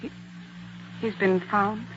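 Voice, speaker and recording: A woman's voice: mid-range voice, steady tone, takes it slowly, lightly acted. An actress playing a cool, calculating young female impostor in a 1940s radio drama. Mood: feigned concern. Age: young adult. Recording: muffled, hissy old radio recording.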